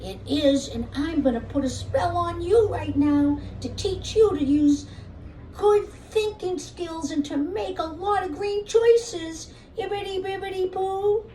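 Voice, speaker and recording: A woman's voice: extremely high pitch, a little slow, mellow, voice pushed up. A woman voicing a stern magical fairy-tale woman, scolding and declaiming spells in a children's puppet show. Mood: stern, commanding. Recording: roomy, distant mic, faint noise.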